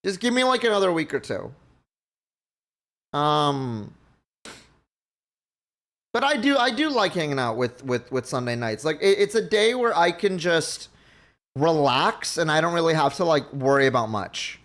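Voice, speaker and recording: A man's voice: fairly high pitch, very animated, a little slow, pauses often, a bit bright. A man from Mexico chatting casually with his stream viewers, rambling and thinking aloud as he goes. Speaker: livestream host.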